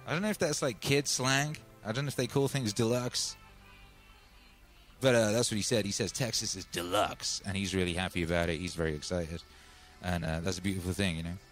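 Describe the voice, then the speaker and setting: A man from Wales, chatting casually as a stream host, rambling and upbeat with lots of fillers and shout-outs. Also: deep voice, fairly even intonation, a little fast, faint music behind. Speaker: livestream host. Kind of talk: casual chat.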